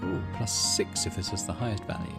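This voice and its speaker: A man's voice: on the low side, fairly even intonation, brisk, music in the background. A man doing let's play commentary, reading story text aloud and muttering through his decisions as he thinks aloud. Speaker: game streamer, let's play host.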